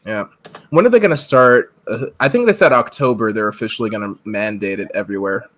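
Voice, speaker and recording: A man's voice: on the low side, fairly quick, a bit bright. A man in his thirties co-hosting a casual live-stream podcast from home, laughing often and reading the chat aloud. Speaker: podcast co-host. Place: home room, on video call.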